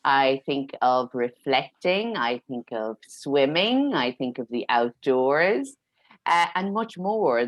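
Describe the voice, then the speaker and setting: A woman's voice: very deep voice, no room sound. A woman hosting a podcast, introducing her guest in an effusive, chatty way. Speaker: podcast host. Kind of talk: casual chat.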